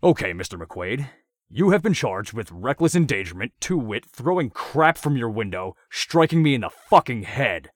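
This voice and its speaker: A man's voice: lowish voice, wildly swooping pitch, measured pace, no echo, clean studio sound, heavily acted. A man voicing a gleeful, sadistic male vigilante who taunts his captive and laughs often.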